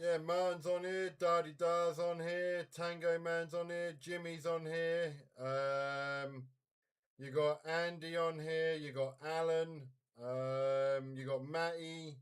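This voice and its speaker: A man's voice: fairly high pitch, smooth. A man hosting a casual CB radio net, chatting in a friendly, informal way. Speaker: CB radio net controller and live stream host. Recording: very noisy CB radio live stream.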